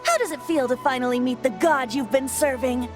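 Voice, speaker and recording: A woman's voice: high-pitched, brisk, close to an everyday voice. A woman playing a playful, theatrical young male bard who is a god in disguise, in a fantasy video game. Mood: grandiose. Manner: playful, grandiose, cajoling. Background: background music.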